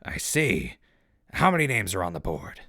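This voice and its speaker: A man playing an easygoing ghoul who hosts a wasteland radio show, talking in calm, affable patter with a gravelly voice. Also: sits low, moderate pace, full character voice. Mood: upbeat, interested. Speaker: radio host. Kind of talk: radio-host patter in scripted audio drama. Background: music.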